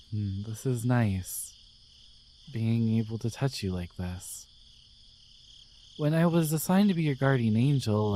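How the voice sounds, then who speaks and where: A man voicing a devoted, gentle guardian angel in an intimate romantic ASMR roleplay, speaking softly with tender, hesitant care. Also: lowish voice, a little hiss or hum, close to an everyday voice. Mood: caring, soothing. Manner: soft, tender, hesitant, soothing. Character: devoted, gentle male guardian angel in love with their human.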